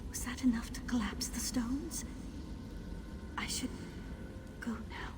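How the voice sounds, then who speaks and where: A woman's voice: a little high, takes it slowly, whispered. An actress playing a sorrowful, formal ghost woman longing for her lover in a video game. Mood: grieving. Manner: mournful, formal.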